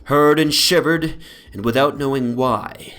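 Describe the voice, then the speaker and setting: A man giving a formal, measured, theatrical dramatic reading of a gothic horror story as its narrator. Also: medium pitch, fairly bright tone. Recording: background noise from an ambient soundscape. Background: atmospheric soundscape.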